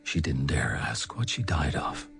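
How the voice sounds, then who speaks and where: An actor playing a grave storyteller in a gothic audio drama, narrating in a hushed, deliberate way with dramatic pauses. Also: male voice, extremely low pitch, sing-song, creaky, whispered, faint music behind, exaggerated voice. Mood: ominous. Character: grave, measured male storyteller of a gothic tale.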